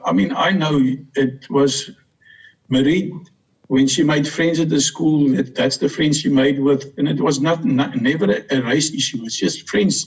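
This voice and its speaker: A middle-aged Afrikaans-speaking man, a guest talking about his sister in a halting way with many restarts. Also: mid-range voice, slightly rough, low-quality recording. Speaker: guest, older brother.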